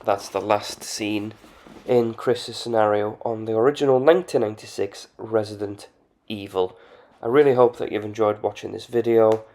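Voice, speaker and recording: A man in casual voice-over commentary for a game channel. His voice sits low and barely moves in pitch. He hesitates often, filling pauses with ums and uhs. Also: darkish tone. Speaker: video game channel host.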